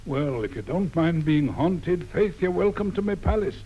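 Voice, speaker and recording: A man's voice: a little high, lively intonation, normal speed, mellow, husky. A man voicing a grand, ageless fairy-tale king who speaks in a stately, welcoming way, on a dull old tape recording.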